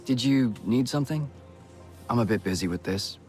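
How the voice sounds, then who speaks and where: Game casting: actor as an earnest, lost defector, mid-range male, halting and mournful delivery. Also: mid-range voice, animated, darkish tone, soft background music, close to an everyday voice. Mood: subdued grief. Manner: hesitant, reflective. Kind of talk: video game character acting.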